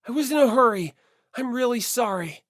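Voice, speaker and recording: A woman acts out an earnest teenage schoolboy for an audiobook. He is hesitant and quick to apologise, easily flustered, with very lively pitch. The recording is dry and free of echo. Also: lightly acted. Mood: flustered, apologetic.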